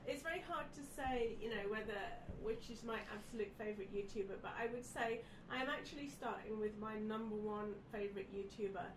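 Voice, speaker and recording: A woman's voice: medium pitch, a little fast, hardly any pauses. Woman, snuffly cold, casual podcast guest, away from the mic, noticeable background noise. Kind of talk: casual chat from notes. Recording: echoey, distant mic, clear background noise.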